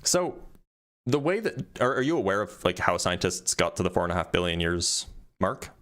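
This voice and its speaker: A man's voice: sits low, very animated, normal speed, fairly bright tone. A man hosting an online debate, patiently questioning a caller and explaining science with frequent restarts. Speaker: debate host.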